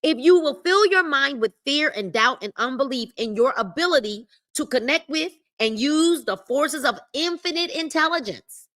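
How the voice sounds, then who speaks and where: A woman hosts a live-streamed book club, moving between reading the text aloud and her own emphatic asides. Her voice is bright and sits a bit high, with animated swings in pitch. She repeats her main points and calls out to viewers in the chat. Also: moderate pace, hardly any pauses. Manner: emphatic, repeats key phrases and speaks to the chat. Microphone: livestream mic.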